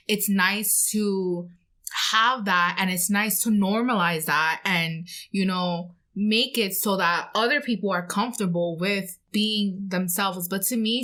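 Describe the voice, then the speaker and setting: Easygoing woman with a mid-pitched voice. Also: sharp tone. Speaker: podcast co-host. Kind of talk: casual conversational podcast chat.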